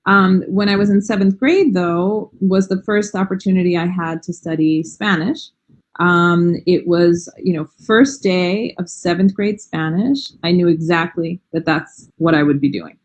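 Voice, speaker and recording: Enthusiastic American woman, lowish voice. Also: measured pace. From United States. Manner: warm, enthusiastic, frequent "um" and "you know". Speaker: language teacher, interview guest. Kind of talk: casual interview chat.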